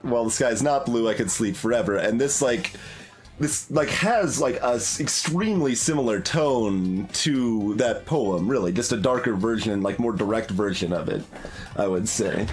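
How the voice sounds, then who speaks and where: A man's voice: lowish voice, moderate pace. A man doing casual game commentary as a streamer, thinking aloud in a hesitant, analytical way. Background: game music.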